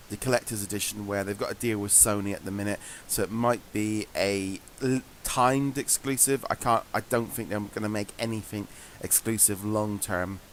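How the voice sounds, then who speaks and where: A man co-hosting a webcast, chatting casually and offering his opinions. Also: on the low side. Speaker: webcast co-host. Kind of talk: casual chat, giving opinions. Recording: hissy, noisy online webcast audio.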